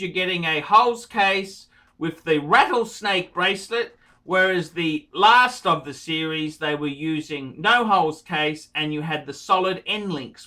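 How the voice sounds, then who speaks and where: A man's voice: high-pitched, sharp tone. A middle-aged man hosting a watch channel, talking casually to camera and repeating words emphatically for effect. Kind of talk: casual to-camera review, reading a viewer's letter.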